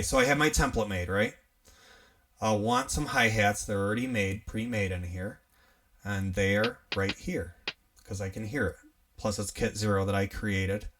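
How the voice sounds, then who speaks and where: A young man with an upstate New York accent, hosting a casual live tutorial on music gear, chatty and relaxed, laughing often as he works. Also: lowish voice, distant mic. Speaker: livestream host teaching music gear.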